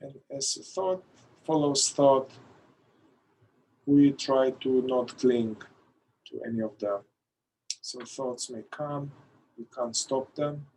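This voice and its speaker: A man leading a guided meditation in a calm, gentle manner, pausing between short phrases. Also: medium pitch, a little hiss or hum, low-quality recording. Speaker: meditation guide, somatic therapist.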